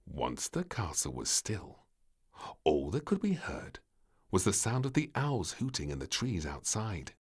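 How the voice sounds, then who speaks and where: A man narrating a children's storybook in an expressive, dramatic and deliberate scripted style. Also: deep voice, breathy edge.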